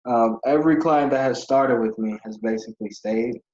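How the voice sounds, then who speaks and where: A man's voice: mid-range voice, normal speed, a little mellow. A young man from Ghana, a podcast guest who tells his story in a rambling, casual way with many fillers. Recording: dull lo-fi audio.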